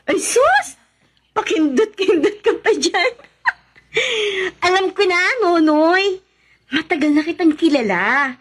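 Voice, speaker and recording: A woman's voice: very high-pitched, animated, slow pace, slight background noise, heavily acted. A woman acting a playful, flirty woman teasing her friend in a Filipino radio drama.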